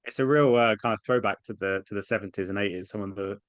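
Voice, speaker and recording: A man's voice: lowish voice, fast, dry recording. A man interviewing an actress, asking long rambling questions with many restarts. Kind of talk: press junket interview.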